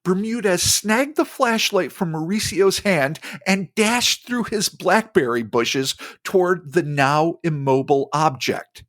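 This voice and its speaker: A man's voice: high voice, unhurried, few pauses, a bit bright, no echo, studio quality. A man with a New Jersey accent, hosting a podcast, who reads out a story and breaks off into joking asides. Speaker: podcast host. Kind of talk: reading a story aloud with casual banter.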